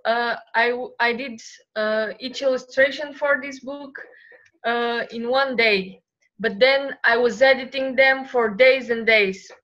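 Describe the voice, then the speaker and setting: Young woman from bulgaria, high bright voice, friendly, hesitant, muffled call audio. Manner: friendly, searching for words with many fillers. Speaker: illustrator guest. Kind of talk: casual interview answers.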